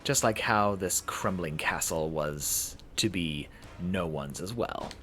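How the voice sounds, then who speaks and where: A man streaming a visual novel playthrough, reading it aloud in a halting, dramatic way and correcting himself. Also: deep voice, pushed and bright, slight background noise.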